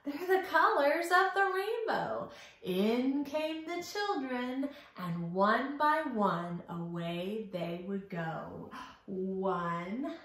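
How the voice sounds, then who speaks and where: Female children's storyteller, highly animated and unhurried, interactive and exaggerated in expression, recorded in a strongly reverberant room. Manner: animated, sing-song, exaggerated expression, asks and praises. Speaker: children's library storytime host. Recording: very echoey room.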